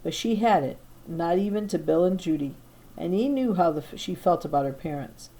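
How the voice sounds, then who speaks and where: A woman teacher reading a storybook aloud to her class, expressive, now and then stumbling and repeating a word. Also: fairly low pitch, normal speed, poor audio. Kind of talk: storybook narration read aloud.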